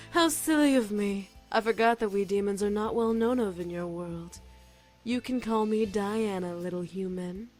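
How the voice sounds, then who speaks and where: A woman's voice: medium pitch, a little mellow, soft background music, close to an everyday voice. A woman playing a haughty, seductive succubus demon in a visual novel game, condescending and teasing as she draws out her words. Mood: amused, mocking. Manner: condescending, teasing, drawn-out delivery.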